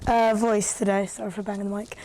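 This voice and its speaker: A woman, a rapper and radio presenter, talking casually as a guest with a slightly raspy, tired voice and lots of fillers. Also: medium pitch, flat delivery, quick pace, dark tone, slight background noise. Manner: chatty, thoughtful, with frequent fillers. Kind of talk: casual podcast conversation.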